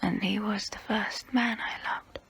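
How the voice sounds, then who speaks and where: Woman acting as centuries-old female vampire, breathy whisper, unhurried pace, intimate ASMR roleplay, guilty, wistful, tempting. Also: medium pitch, fairly even intonation, a bit bright. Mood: melancholy. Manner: slow, intimate, deliberate delivery.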